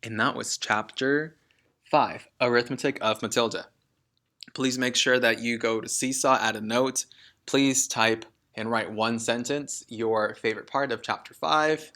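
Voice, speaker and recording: A man reading a children's book aloud as a teacher, in an expressive storytelling style. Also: medium pitch, unhurried.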